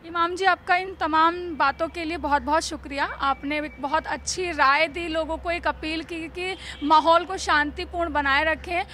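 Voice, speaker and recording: A woman working as a news reporter and interviewer in the field, sometimes halting and repeating words as she forms her questions. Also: very high voice, fairly even intonation, pushed and bright, a little hiss or hum.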